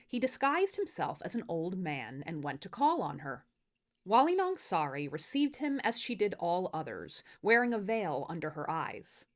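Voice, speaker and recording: A woman hosting and narrating folktales in an expressive, theatrical storytelling style made for social video. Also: fairly low pitch. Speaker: storyteller and series host.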